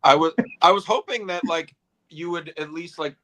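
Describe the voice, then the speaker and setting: A man's voice: a little high, normal speed, pushed and bright, a little hiss or hum, poor audio. A man co-hosting a toy livestream, making wry joking asides. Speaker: co-host. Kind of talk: casual livestream chat.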